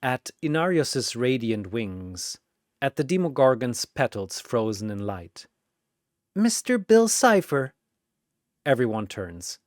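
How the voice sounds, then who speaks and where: A narrator reading a dramatic scripted story in measured, suspenseful short phrases. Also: mid-range voice, animated, a little mellow, no echo, clean studio sound.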